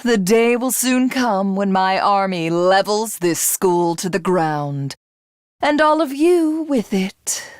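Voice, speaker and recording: An actress playing a haughty, vengeful alien warlord in a video game, speaking in formal, mocking, theatrical lines. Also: female voice, mid-range voice, animated, slow, clean tone, no echo, studio quality. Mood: vengeful, menacing. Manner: imperious, mocking, formal archaic diction. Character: haughty, vengeful alien warlord, a self-styled living god. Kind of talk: theatrical game villain monologue.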